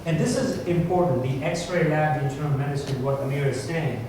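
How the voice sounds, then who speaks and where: A man's voice: fairly high pitch, brisk. Man explaining in a big echoey room. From India. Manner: earnest, explanatory, repeats points with "you know". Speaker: insurance company representative. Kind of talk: informational seminar talk to students. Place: large echoey meeting room. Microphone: distant room mic. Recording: echoey distant room recording with noise.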